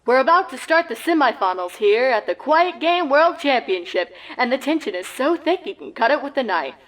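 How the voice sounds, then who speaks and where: Woman putting on an animated pony sports announcer. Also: high voice, moderate pace, pitched above the performer's own voice, poor audio. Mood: keen, announcing. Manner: punchy, announcer-like, straight-faced. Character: excitable pony sportscasters calling a championship live. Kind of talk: sports commentary parody.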